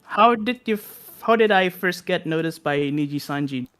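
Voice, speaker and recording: A young Indonesian man speaks English as a second language, his Indonesian accent light but present. His voice sounds bright and crisp and sits a little high for a man. He talks hesitantly, pausing on ums and correcting himself as he looks for words. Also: expressive. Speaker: music composer guest. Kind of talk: casual interview chat.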